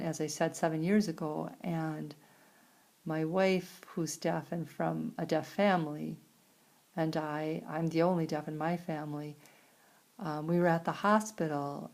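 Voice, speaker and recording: A woman with an American accent and a creaky voice, interpreting a signed personal story aloud in halting phrases with pauses. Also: fairly low pitch, a little mellow. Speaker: sign language interpreter voicing a signer.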